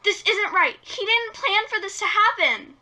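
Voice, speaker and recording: A woman playing a gentle, hopeful, anxious young female in an amateur script read, earnest and pleading. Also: animated, moderate pace, exaggerated voice. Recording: noisy home microphone with rumbling hiss.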